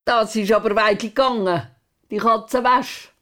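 An older woman with a Swiss German accent playing a gruff but caring grandmother in a radio play, brusque and teasing. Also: a little high, fairly even intonation, unhurried, fairly bright tone.

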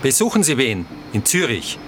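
An actor playing a prying, evasive train conductor with an Austrian accent, repeating himself in a radio play. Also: male voice, medium pitch, big pitch swings, normal speed, noisy background, close to an everyday voice. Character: pushy, nosy, secretive male night-train conductor. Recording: loud music behind the voice.

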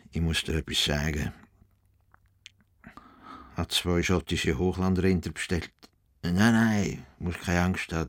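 A stubborn older Swiss farmer in a radio drama. He speaks slowly and deliberately with a Swiss German accent. He sounds earnest and formal, an idealist with grand plans. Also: sits low. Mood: tender, confiding. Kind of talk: radio play acting.

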